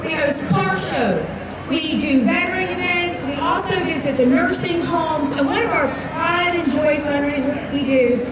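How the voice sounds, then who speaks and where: A woman's voice: sits high, a little slow, very noisy. A woman contestant speaking on stage in a brash, peppy way. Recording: distant echoey PA, loud crowd.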